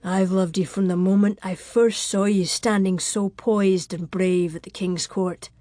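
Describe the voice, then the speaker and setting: A woman's voice: mid-range voice, fairly even intonation, measured pace, warm tone, no room sound, clean studio sound, lightly acted. A woman voicing a brave, devoted medieval castle lord in an audiobook, earnest and using archaic words. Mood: tender, emotional. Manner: earnest, archaic speech with "ye" and "lass".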